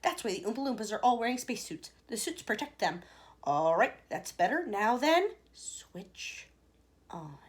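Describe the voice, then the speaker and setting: A woman voicing an excitable, eccentric male chocolate maker with a showman's exclamatory manner. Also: fairly high pitch, normal speed. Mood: commanding.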